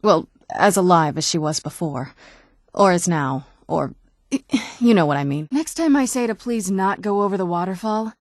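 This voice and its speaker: A woman's voice: fairly low pitch, lively intonation, normal speed, no echo, lightly acted. A woman voicing a young-looking vampire noblewoman companion in a video game, wry and guarded, with a dry conversational delivery. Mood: wry, thoughtful. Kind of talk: video game character dialogue.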